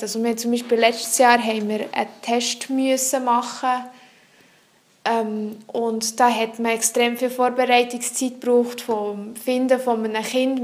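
Young female student, high voice, French; earnest and explanatory, searching for words in an interview. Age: young adult. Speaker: psychology student. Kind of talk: answering interview questions.

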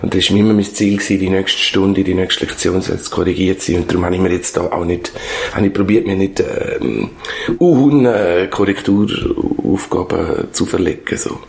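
Low, creaky-voiced Swiss German man. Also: a little mellow. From Switzerland. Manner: halting, searching for words. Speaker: former schoolteacher being interviewed. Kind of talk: reflective interview talk.

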